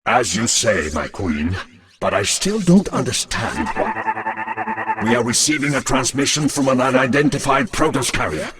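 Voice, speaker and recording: A man's voice: mid-range voice, animated, unhurried, fairly bright tone, slightly rough, faint music behind. A man playing a deferential military lieutenant in a sci-fi game, reporting formally to his queen. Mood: doubtful deference. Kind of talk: dramatic sci-fi game voice acting.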